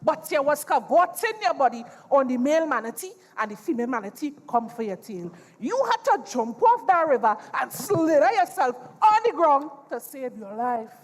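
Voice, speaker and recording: A woman's voice: high voice, sing-song, moderate pace, few pauses. A woman playing a boastful old retired blood-sucking spirit on stage, with a Trinidadian accent and emphatic, dramatic storytelling. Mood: accusing, teasing. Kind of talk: theatrical stage dialogue. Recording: echoey theatre hall.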